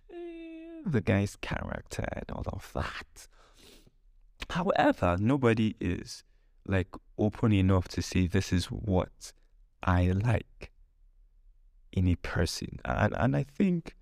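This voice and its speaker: A very low-voiced man talks in a casual, humorous storytelling way. His voice has a gravelly creak and sounds close and dry. He hosts like a podcaster, slipping into acted-out bits of dialogue. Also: a little mellow, dry recording. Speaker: podcast host.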